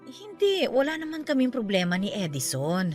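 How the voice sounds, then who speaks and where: A middle-aged aunt in a radio drama, fretful and hesitant. Her fairly high voice swings widely in pitch, with music playing underneath. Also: wildly swooping pitch, moderate pace. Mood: nervous, hesitant. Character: anxious middle-aged aunt, newly pregnant, hesitant and doubtful. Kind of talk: Tagalog radio drama acting.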